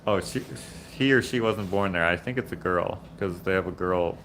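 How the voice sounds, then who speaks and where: A man's voice: low voice, moderate pace. Male streamer in a noisy, echoey shop; casual, rambling, self-correcting. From Canada. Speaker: host of a live build stream. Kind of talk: casual chat while working. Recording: echoey workshop with loud background noise.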